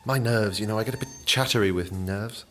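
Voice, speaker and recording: An actor playing a nervous, chatty and polite would-be novelist in a radio play, hesitant and apologetic. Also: male voice, on the low side, a little fast, fairly bright tone, close to an everyday voice. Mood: flustered politeness. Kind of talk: naturalistic radio play acting.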